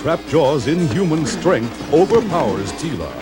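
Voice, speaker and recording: A man's voice: fairly high pitch, sing-song, unhurried, over music. A man giving dramatic, declamatory scripted narration for a children's adventure story.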